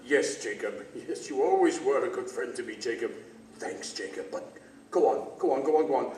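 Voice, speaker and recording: A man's voice: medium pitch, animated, normal speed, a little mellow, natural voice. A man playing a frightened, querulous old miser in a theatrical radio play, stammering and pleading as he repeats himself. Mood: fearful pleading. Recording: distant echoey theater stage, slight noise.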